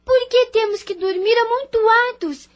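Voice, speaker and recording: A woman's voice: very high voice, unhurried. A woman playing a curious, persistent little sister, a young girl who asks and insists, in an audio drama. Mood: challenging. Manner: inquisitive, insistent.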